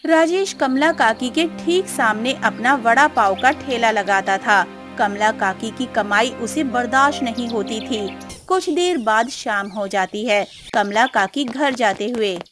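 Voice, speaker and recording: A woman's voice: fairly high pitch, measured pace. A woman narrator reading a scripted cartoon moral story. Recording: music behind.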